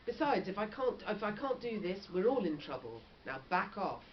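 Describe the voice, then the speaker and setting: A woman reading a storybook, voicing an earnest, protective middle-aged father in measured dialogue. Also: mid-range voice, big pitch swings, close to an everyday voice. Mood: defensive, firm. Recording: dull lo-fi audio with faint noise.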